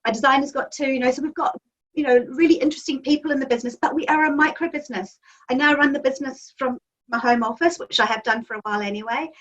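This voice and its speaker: Nz lady in her 50s, high voice, chatty with lots of ums, far off dull call audio. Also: fast, a bit bright. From New Zealand. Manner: chatty and enthusiastic, with frequent ums. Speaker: magazine editor and publisher. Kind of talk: interview answers. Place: home office.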